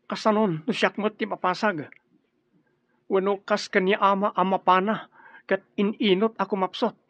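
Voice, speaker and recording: Earnest, high-voiced young graduate. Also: male voice, fairly even intonation, normal speed, fairly bright tone, dry recording, dull audio. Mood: earnest, pleading. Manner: respectful, earnest pleading. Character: earnest, peace-loving young male new graduate. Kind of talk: Ilocano radio drama acting.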